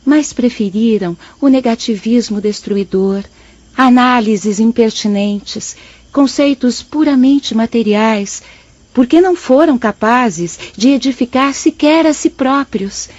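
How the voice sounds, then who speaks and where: A solemn, humble woman spirit addressing pupils in Brazilian Portuguese radio-drama style. The voice sits high, the delivery is formal and didactic, and the sound is a little muffled. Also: slow, darkish tone, no echo. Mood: solemn, admonishing. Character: humble, solemn female spirit poet teaching her brethren. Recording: slightly dull, band-limited audio.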